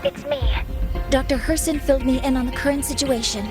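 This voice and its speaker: A woman's voice: sits high, fairly even intonation, brisk, close to an everyday voice. A woman playing a composed, concerned professor giving briefings in a video game. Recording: loud music behind the voice.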